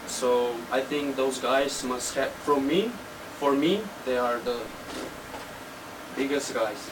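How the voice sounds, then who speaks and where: A man in his twenties with a Slovenian accent answers interview questions casually, in halting, simple non-native English. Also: medium pitch. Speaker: interview guest, techno DJ and producer. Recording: distant mic, loud background hum.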